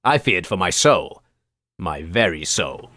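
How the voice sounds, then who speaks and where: A man's voice: deep voice, very animated, takes it slowly, background noise. A man hosting a comedy radio show with a bombastic, theatrical manner. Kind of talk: comic radio sketch acting and hosting.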